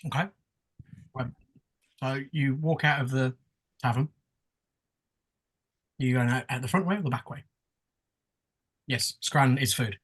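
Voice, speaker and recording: Husky English man running a game. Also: mid-range voice, a little slow, pauses often, fairly bright tone. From England. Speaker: game master. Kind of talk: casual narration of a tabletop game.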